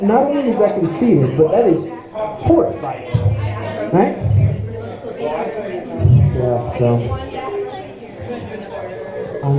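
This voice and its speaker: A man's voice: on the high side, muffled recording. A young man doing a rambling, self-mocking stand-up comedy set on a small club stage, speaking into a handheld mic. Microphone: handheld mic, heard from the audience. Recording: echoey club with audience noise. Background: audience.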